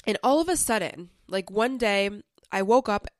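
A woman's voice: mid-range voice, lively intonation, moderate pace, no room sound, clean studio sound. A young woman hosting a casual self-help podcast, talking in a chatty, conversational way with lots of filler words while a little sick, her voice slightly off. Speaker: podcast host.

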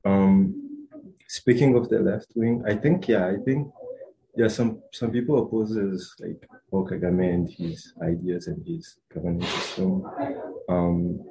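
A man's voice: sits low, unhurried, creaky, dark tone, a little hiss or hum, rough recording. A young man from Rwanda, a student answering interview questions in a hesitant, casual way with many fillers and restarts. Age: young adult.

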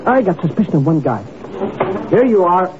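An actor playing an excitable, shifty petty schemer working as a waiter in a 1950s radio drama, flustered, slangy and obsequious. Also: male voice, high voice, moderate pace. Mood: confiding. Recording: muffled, noisy old radio broadcast recording.

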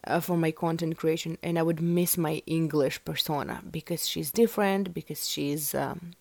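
Woman hosting a solo podcast, low, slightly gravelly voice, dry close mic, rambling and full of fillers. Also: steady tone, moderate pace, darkish tone, no room sound. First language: Russian. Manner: chatty, rambling, many fillers like "like" and "um". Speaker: podcast host. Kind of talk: casual solo podcast talk.

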